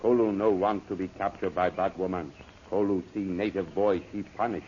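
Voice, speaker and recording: An actor playing a loyal, cautious native guide who speaks clipped pidgin English in a 1930s radio serial. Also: male voice, sits low, fairly even intonation, normal speed, darkish tone, natural voice. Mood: loyal caution. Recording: dull, noisy old radio recording.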